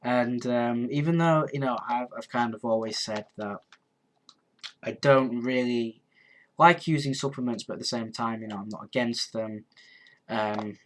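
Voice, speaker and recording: Male, 20s, stuffy cold, mid-pitched, rambling casual stream host full of ums. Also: steady tone, measured pace. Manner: rambling, hesitant, many ums and restarts. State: congested, sniffly. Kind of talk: casual live stream chat answering comments.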